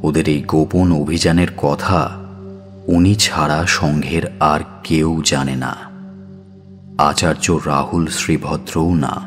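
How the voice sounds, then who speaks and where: A man narrating a scripted audio story in a measured, dramatic style. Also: very deep voice, steady tone. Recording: music bed under narration.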